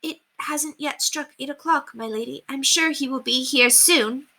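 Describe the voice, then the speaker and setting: A meek, nervous maid with a small squeaky voice, put on by a woman reading aloud. She speaks high and softly, deferring hesitantly to her betters in a storybook tale. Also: moderate pace. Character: timid, anxious, squeaky young housemaid. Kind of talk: children's storybook read aloud.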